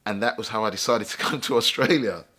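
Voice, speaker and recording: A man with a deep, low-pitched voice tells his own life story. He speaks reflectively and thoughtfully, often pausing to find the right words. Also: sharp tone, slightly rough. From England, London. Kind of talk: personal life story told to camera.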